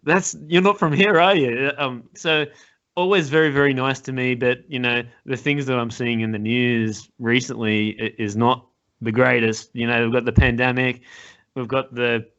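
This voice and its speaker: A man's voice: mid-range voice, steady tone, normal speed, fairly bright tone, smooth. A man in his thirties with an Australian accent, casually interviewing a guest with agreeable, hesitant phrasing. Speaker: podcast host, interviewer. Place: home room with wooden cabinet. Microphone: large desktop microphone up close. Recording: slightly dull call audio.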